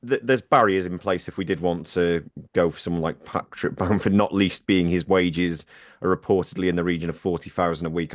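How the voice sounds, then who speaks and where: Male podcast co-host, very low voice, dry mic, casual and self-mocking. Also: dry recording. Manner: chatty, self-deprecating, with fillers. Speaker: podcast co-host presenting news roundup.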